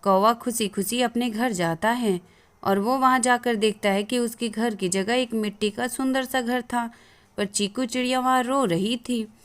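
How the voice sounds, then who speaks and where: Fairly high-pitched woman storyteller. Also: fairly even intonation, unhurried. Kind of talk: scripted narration of children's moral story.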